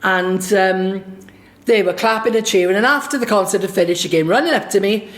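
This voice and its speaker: Welsh woman telling a lively story. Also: mid-range voice, fairly quick. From Swansea, Wales. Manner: lively anecdotal, acts out quoted dialogue. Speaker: singer and songwriter. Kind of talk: personal storytelling.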